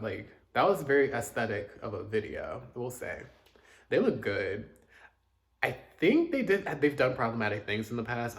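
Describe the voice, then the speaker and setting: A man casually chatting through his music reviews, chatty and self-deprecating, with joking asides. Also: mid-range voice. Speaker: K-pop reaction host.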